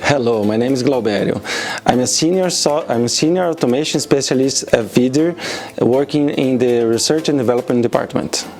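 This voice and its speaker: A man from Brazil speaks in a middle register with a clear Brazilian Portuguese accent. He answers interview questions carefully and pauses to find his words. Soft music plays quietly behind him. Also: mid-range voice. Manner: careful, with restarts and pauses, switching between English and Portuguese. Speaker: automation specialist interviewee.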